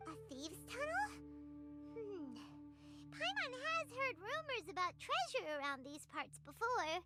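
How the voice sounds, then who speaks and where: Game sidekick: tiny excitable fairy, squeaky childlike voice, wildly swooping intonation, loud music underneath. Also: female voice, a little slow, exaggerated voice. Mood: curious. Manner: refers to self in third person, exclamatory. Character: small excitable floating fairy companion, childlike girl. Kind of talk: video game voice acting.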